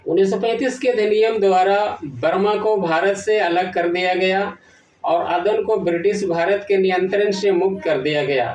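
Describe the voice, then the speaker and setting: A man presenting a scripted educational lesson, reading formally in short, halting phrases. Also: high voice, a little mellow. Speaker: presenter of an educational history channel. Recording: echoey room, distant from the mic.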